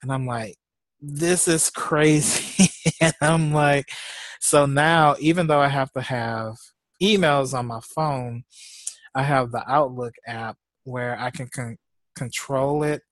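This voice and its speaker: A man's voice: medium pitch, no room sound. A man chatting casually as a co-host, rambling and laughing often, sounding congested, speaking. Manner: slow, drawn-out talk. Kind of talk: casual chat.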